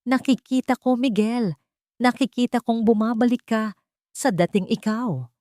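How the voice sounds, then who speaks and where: A woman's voice: fairly high pitch, a little slow, warm tone, dry recording, clean studio sound, pitched above the performer's own voice, close to an everyday voice. A woman storyteller voicing an indignant woman who confronts her partner with pressing questions in an audio drama. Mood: indignant, hurt.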